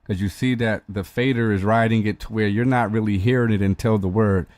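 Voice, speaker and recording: A man hosting a music-mixing tutorial, walking through the steps in a relaxed, explanatory way with frequent restarts. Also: lowish voice, brisk, no echo, studio quality. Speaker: host demonstrating music mixing. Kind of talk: casual tutorial walkthrough.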